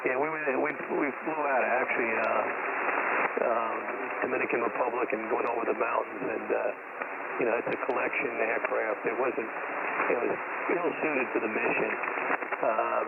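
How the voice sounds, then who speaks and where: A middle-aged man chatting casually over ham radio with halting, hesitant phrasing. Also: mid-range voice, vocal fry, narrow, tinny audio, very noisy. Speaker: amateur radio operator.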